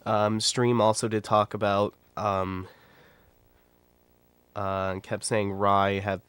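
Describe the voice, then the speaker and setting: Give me a blunt young man streamer, stuffy and nasal with a cold, smooth and unhurried. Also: lowish voice, flat delivery, a bit bright, no room sound. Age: 20s. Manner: blunt, rambling, frank. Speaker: YouTuber and streamer. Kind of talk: casual livestream commentary.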